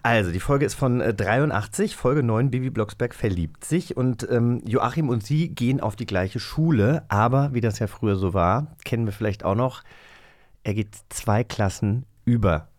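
Male podcast host with a medium pitch, casual storyteller, playful and conversational. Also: moderate pace, darkish tone.

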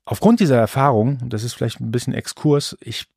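A man's voice: mid-range voice, fairly quick, gravelly, darkish tone, no echo, clean studio sound. A man born in Iran, hosting a solo podcast in a casual, rambling monologue with frequent restarts. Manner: chatty, rambling with restarts and fillers. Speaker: podcast host.

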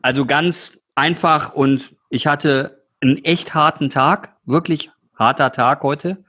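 A man's voice: on the high side, fairly even intonation, takes it slowly, bright, forceful tone, slight background noise. A man co-hosting a beer podcast, chatty and telling stories in a casual tone. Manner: chatty, anecdotal storytelling. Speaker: podcast co-host. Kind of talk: casual chat.

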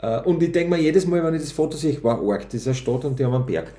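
A playful Austrian man jokes through a casual chat. His voice is mellow and warm, and the room around him adds a noticeable echo. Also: a little high, lively intonation, rapid-fire. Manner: playful, joking. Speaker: podcast co-host. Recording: roomy echo.

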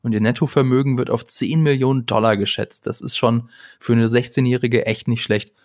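A man's voice: medium pitch, fairly even intonation, brisk, darkish tone, dry recording. A man chatting on a podcast, talkative and in charge of the conversation. His voice sits in the middle range, and the sound is dry and close with no room around it. He leans on fillers as he thinks aloud. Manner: talkative, steers the talk, frequent fillers. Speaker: podcast co-host. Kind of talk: casual chat.

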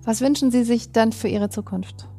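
Gravelly-voiced woman interviewer. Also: a little high, moderate pace, faint music behind. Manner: calm, attentive, brief prompts. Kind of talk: interview questions.

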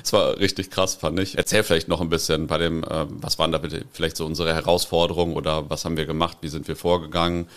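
A man with a very deep voice hosts a relaxed podcast chat, sounding a little congested from a cold. He is chatty and laughs often between questions. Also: quick pace, hardly any pauses. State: has a cold. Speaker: podcast host. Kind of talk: casual podcast interview.

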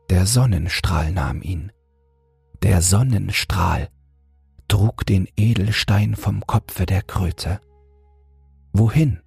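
A man narrating a bedtime fairy tale in a gentle, measured, soothing manner. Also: sits low, gravelly, husky, no echo. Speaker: audiobook narrator and podcast host.

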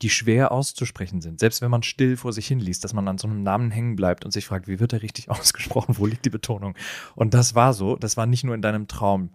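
A man's voice: lowish voice, fairly even intonation, fast, dry recording. A man co-hosting a podcast in casual, humorous chat, with a wry, amused, teasing way of talking. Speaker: podcast co-host.

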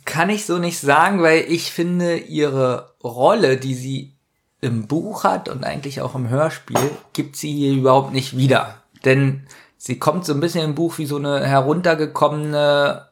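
A man's voice: fairly high pitch, a little slow, creaky. A man co-hosting a podcast, giving his opinions on audio dramas in casual conversation. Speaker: podcast co-host.

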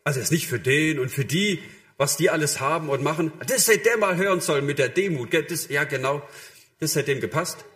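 A man with a slightly high voice delivers a sermon as a preacher. He speaks conversationally and directly to his listeners, illustrating points with short imagined quotes. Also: expressive, brisk, a bit bright.

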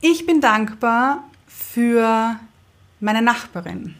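Female co-host with a fairly high voice, for informal podcast chat and anecdotes. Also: animated, drawn-out words. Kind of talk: casual chat, personal anecdotes.

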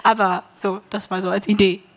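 A woman's voice: mid-range voice, little pitch movement, moderate pace, a bit bright. A woman speaking on a festival stage panel about her radio play, hesitant with many fillers. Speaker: workshop participant, radio play author. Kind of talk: panel discussion.

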